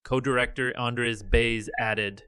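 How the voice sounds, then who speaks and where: A man reading a scripted news report in a measured, even delivery. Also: medium pitch, smooth. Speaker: news narrator.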